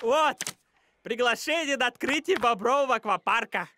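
A man voicing a businesslike, grumbling beaver in an animated cartoon, with a matter-of-fact delivery. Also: very high-pitched, normal speed, slight background noise, exaggerated voice.

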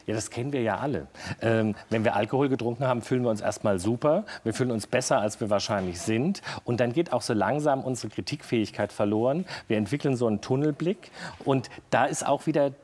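A man in his forties sits on a TV studio set and answers viewer questions in a calm, explanatory way, clear through a lapel mic. His voice sits mid-range for a man, and his delivery is plain and steady. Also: mid-range voice, fast. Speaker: doctor and health editor.

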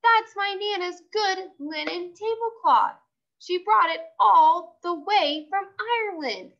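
A woman voicing a spoiled, vain little girl in a storybook, loud, showy and theatrical. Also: extremely high pitch, takes it slowly, lo-fi sound, pitched above the performer's own voice, heavily acted. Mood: horrified outrage.

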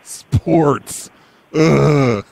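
A man doing prank phone calls as a mall Santa, in a deadpan, crude, mock-serious way. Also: a little high, drawn-out words, gravelly, a little hiss or hum. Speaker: prank call host.

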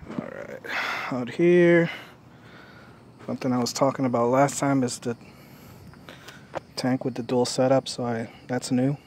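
Rambling middle-aged man, flat delivery, outdoors. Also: mid-range voice, a little slow, long pauses, a little hiss or hum. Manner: relaxed, rambling, many fillers and restarts. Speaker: DIY builder showing his camping trailer. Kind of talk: casual walkthrough. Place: outdoors on a residential lawn. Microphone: phone camera held in hand.

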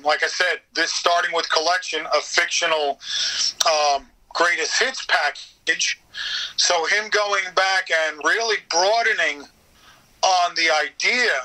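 High-pitched, very bright man chatting enthusiastically. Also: low-quality recording. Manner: enthusiastic, sweary. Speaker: podcast guest, voice actor. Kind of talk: casual interview chat.

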